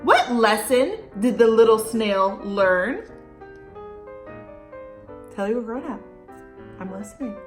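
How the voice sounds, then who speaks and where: A woman's voice: fairly high pitch, expressive, slow pace, pauses often, faint music behind. A woman reading a picture book aloud to children expressively, stretching words for emphasis and speaking to young listeners directly. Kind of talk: expressive read-aloud with chatty asides and questions.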